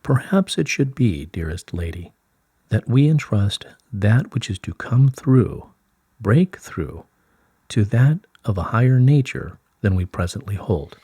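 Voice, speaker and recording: A man reading as a serene collective of higher light beings, in a stately, formal, measured manner. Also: sing-song, dark tone, husky, dry recording, clean studio sound, exaggerated voice. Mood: serene, explanatory. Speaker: reader of channelled messages. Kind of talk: formal, scripted channelled-message reading.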